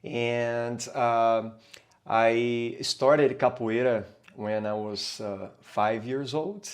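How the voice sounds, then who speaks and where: A man with a Brazilian Portuguese accent talks through his story as a podcast guest. His voice sits in a middle range, and he speaks haltingly, with many fillers and restarts. He is a capoeira teacher. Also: mid-range voice, steady tone. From Brazil, São Paulo. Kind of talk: casual interview talk.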